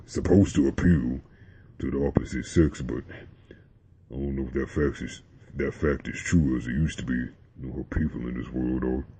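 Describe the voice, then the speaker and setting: Casting: young man with an extremely deep, husky voice, laid-back vlog chat, dull lo-fi sound. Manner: relaxed, rambling, with fillers and slang. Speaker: vlogger. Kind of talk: casual talk to camera about deep voices.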